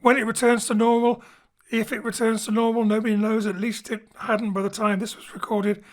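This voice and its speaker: A man's voice: high voice, level tone, fairly quick. A man from Wales, director and co-writer, introducing the company in a friendly, jokey way and mixing in Welsh phrases, from a home studio. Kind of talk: scripted introduction to a show.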